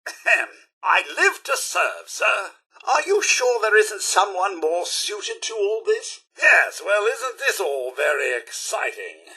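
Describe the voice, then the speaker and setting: A fussy, loyal robot butler from a video game, with a British accent. The voice is very sharp and forceful, pitched high and swooping wildly from line to line. He is pompous and servile, with a dryly sarcastic edge. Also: sing-song, takes it slowly, husky, heavily acted. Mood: eager, polite. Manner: pompous, over-polite, exclamatory, servile. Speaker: companion character in a video game. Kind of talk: theatrical video game voice acting.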